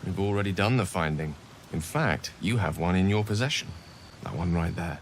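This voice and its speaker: An actor playing a courteous, learned wizard with a grave secret, speaking in formal, wordy video game dialogue. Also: male voice, low voice, normal speed, a little hiss or hum, lightly acted. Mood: wry. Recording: music and rain behind the voice. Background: music, rain.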